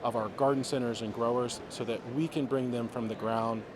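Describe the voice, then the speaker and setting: A man pitching his software at a trade show, explaining its features in a salesy way. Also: fairly even intonation, a little fast, noisy background. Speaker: software vendor guest. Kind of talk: product pitch. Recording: crowd chatter behind.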